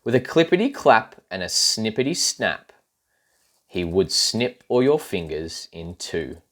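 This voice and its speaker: A man reading a children's rhyming picture book aloud in an expressive, playful way, with asides to young listeners. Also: fairly low pitch, a little slow. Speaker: storybook reader.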